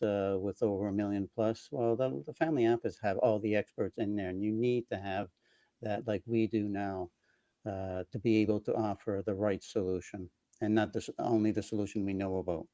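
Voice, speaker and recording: Male panelist and advisor, low warm register, informal delivery. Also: steady tone, fairly quick, no echo.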